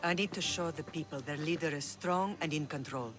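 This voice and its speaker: A woman playing a dignified older Greek mother who rules an island, grave and measured, in a video game drama. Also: low-pitched, music in the background, natural voice. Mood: commanding.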